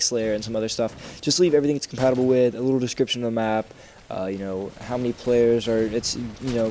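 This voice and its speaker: A young man giving rambling casual commentary over gameplay, sounding congested and clearing his throat, with lots of fillers and restarts. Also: medium pitch, darkish tone, slight background noise. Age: young adult. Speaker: gaming channel host.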